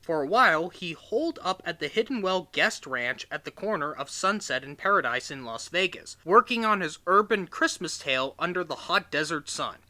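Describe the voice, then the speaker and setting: Bright, lively male read-aloud narrator. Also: a little high, normal speed, few pauses. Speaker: reader of a book excerpt. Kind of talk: scripted read-aloud narration of a magazine-style history.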